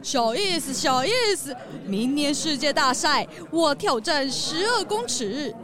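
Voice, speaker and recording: Boastful, easygoing sports champion played by a woman, high and slow, crowd behind, kids' radio play. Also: animated. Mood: proud, admiring. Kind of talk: children's audio drama.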